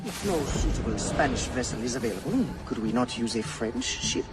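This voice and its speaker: An actor playing a bold, persistent scientist pressing for funds in a video game, formal and persuasive. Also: male voice, mid-range voice, lively intonation, brisk, distant mic, loud music behind the voice, close to an everyday voice. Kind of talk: dramatic video game acting.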